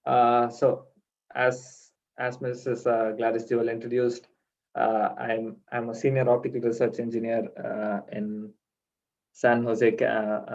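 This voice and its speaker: A man's voice: mid-range voice, darkish tone. A man from India giving an online conference talk as a guest speaker, with many fillers and restarts. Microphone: video call. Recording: dull lo-fi video call audio.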